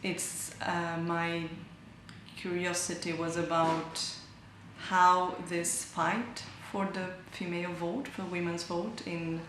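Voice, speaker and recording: A woman's voice: lowish voice, little pitch movement, takes it slowly, fairly bright tone, slight background noise. A woman artist from Brazil explaining her work thoughtfully, searching for words and correcting herself. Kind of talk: interview about her artwork. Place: large gallery hall indoors. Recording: echoey gallery hall.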